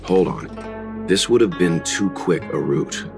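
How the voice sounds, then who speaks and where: A low-voiced game mentor, calm and reserved. He speaks with thoughtful, serious restraint and gentle skepticism, with music behind him. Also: vocal fry, exaggerated voice. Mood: pensive. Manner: measured, reserved. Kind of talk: video game voice acting.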